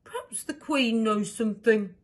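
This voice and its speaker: A woman's voice: fairly high pitch, moderate pace, breathy edge. A woman voicing a smart, fussy, easily upset male dragon in a storybook, with dramatic delivery. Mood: thoughtful.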